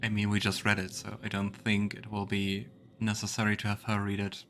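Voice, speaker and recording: A man hosts a let's play in a fairly low voice. He comments casually with wry, joking asides, and game ambience is clearly audible behind him. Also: monotone, fairly quick, background noise.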